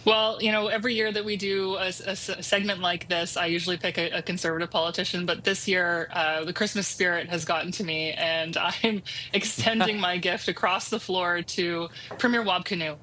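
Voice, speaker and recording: A woman giving political commentary on a TV panel in a brisk, assured way. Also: fairly low pitch, fairly even intonation, sharp tone, a little hiss or hum.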